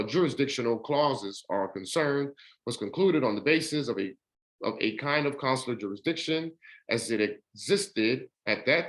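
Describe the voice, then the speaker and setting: A man's voice: fairly bright tone, low-quality recording. A man reading a court judgement aloud, stumbling over words and breaking off to explain it in his own words. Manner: rises in pitch and speeds up when explaining.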